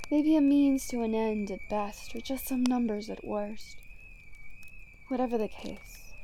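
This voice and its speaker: Woman acting a slow, smug female supervillain. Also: fairly high pitch, dark tone, a little hiss or hum. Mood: coolly accusing. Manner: mocking, teasing, theatrical, unhurried, breaking into whispers. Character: taunting female supervillain, smug, seductive, bitter toward heroes. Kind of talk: ASMR roleplay villain monologue.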